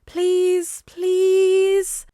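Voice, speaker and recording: Brief: quiet, polite little boy, high and soft, begging, as a woman puts him on. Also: monotone, drawn-out words, dry recording, clean studio sound, exaggerated voice. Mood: pleading. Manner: soft, pleading.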